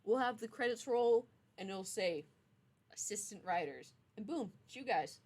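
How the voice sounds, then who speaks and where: A woman's voice: medium pitch, expressive, normal speed, darkish tone. A young adult woman streamer chatting casually with her viewers in a playful, teasing, mock-stern way. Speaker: streamer, game writer. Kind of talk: casual livestream chat.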